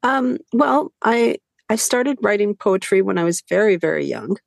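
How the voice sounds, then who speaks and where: A woman's voice: medium pitch, lively intonation, normal speed, creaky, no room sound, clean studio sound. A middle-aged woman whose was Hungarian, telling stories as a podcast guest, chatty, laughing often, with many fillers. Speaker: guest author. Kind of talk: conversational storytelling.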